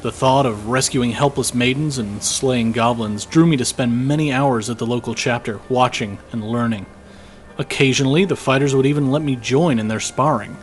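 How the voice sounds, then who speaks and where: A man playing a weary, guilt-ridden young imperial soldier in a fantasy audio drama, reading his journal in a measured, reflective way over music. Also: mid-range voice, a little hiss or hum, close to an everyday voice. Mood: wistful.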